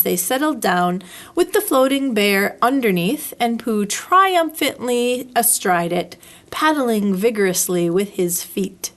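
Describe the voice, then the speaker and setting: A woman's voice: a little high, a bit bright. A woman reading a children's story aloud as a storyteller, expressively, drawing out words for effect. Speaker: library storyteller.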